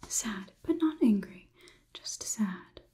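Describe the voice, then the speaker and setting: A woman's voice: medium pitch, expressive, takes it slowly, mellow, slight background noise. A woman voicing a curious, detached robot overlord in an ASMR roleplay, with stilted formal phrasing, recorded close to the mic. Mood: detached curiosity. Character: curious, clinical female robot overlord studying human emotions.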